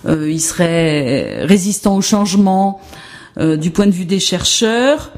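A woman's voice: lowish voice, very animated, unhurried, darkish tone. A woman giving an academic presentation on education research, hesitant and full of fillers and restarts.

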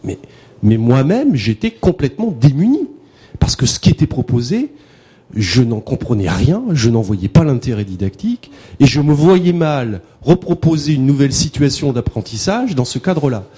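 Lively, husky-voiced man in reflective discussion. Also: mid-range voice, normal speed. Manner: reflective, hesitant with fillers. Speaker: conference participant. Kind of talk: academic discussion.

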